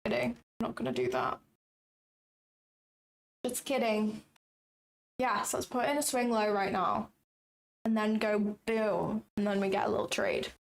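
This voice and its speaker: A young woman with a raspy voice, chatting casually and thinking aloud as she hosts a live trading stream. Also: medium pitch, lively intonation, unhurried, long pauses, a little hiss or hum. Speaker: trading livestream host.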